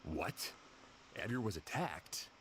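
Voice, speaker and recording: A man's voice: lowish voice, very animated, slow, a bit bright, breathy edge, full character voice. An actor playing a concerned, resolute lawman in a fantasy video game, speaking in an earnest, firm way with music behind. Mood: shocked. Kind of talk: scripted game voice acting.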